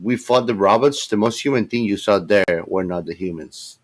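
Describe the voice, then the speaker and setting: A man's voice: lowish voice, normal speed. A man co-hosting a casual movie discussion, talking in a slangy, emphatic way. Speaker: podcast co-host.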